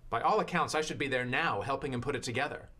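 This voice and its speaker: A man's voice: medium pitch, quick pace, sharp tone. Harried American businessman husband. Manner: defensive, bickering. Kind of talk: audio drama acting.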